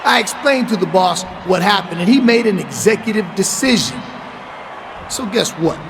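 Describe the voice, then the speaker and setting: A man's voice: high voice, lively intonation, normal speed, background noise. A man playing a cocky, brash wrestler in a video game, boastful and emphatic. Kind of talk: video game cutscene acting.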